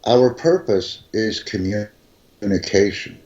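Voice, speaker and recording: A native English-speaking man answering questions in a slow, measured, instructive way, as a teacher. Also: fairly low pitch, lively intonation, a little mellow, muffled recording. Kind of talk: conversational interview answers.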